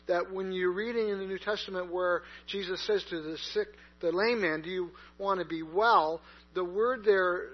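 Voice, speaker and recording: A man preaching a sermon in a conversational way, with many fillers and restarts. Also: high-pitched. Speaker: pastor. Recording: dull lo-fi recording with mains hum.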